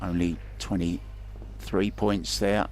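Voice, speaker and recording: A man's voice: deep voice, little pitch movement, takes it slowly, a little mellow, slight background noise. A man commentating pool remotely, chatty and joking, asking his co-commentator questions. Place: remote booth.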